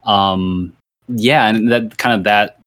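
A man's voice: lowish voice, steady tone, slow pace, fairly bright tone. A man from Virginia whose first language is Thai, a university lecturer giving rambling casual interview answers full of fillers and restarts. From Virginia, USA.

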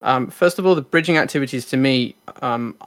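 Podcast host, mid-pitched man, dry sound. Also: fairly quick, a bit bright, no echo. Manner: friendly, uses many fillers and affirming backchannels. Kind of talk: casual podcast interview.

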